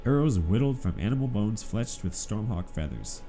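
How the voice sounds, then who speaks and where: Male gamer, low register, nasal and congested from allergies, casual play-along commentary over clear background music. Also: very animated, normal speed, warm tone. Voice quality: stuffy-nosed, nasal. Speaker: video game player and narrator.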